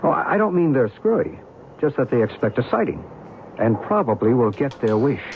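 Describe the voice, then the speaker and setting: An actor playing a knowing, enigmatic American narrator and believer in an old-time radio drama, measured and assured. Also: male voice, mid-range voice, very animated. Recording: tinny, noisy old radio recording.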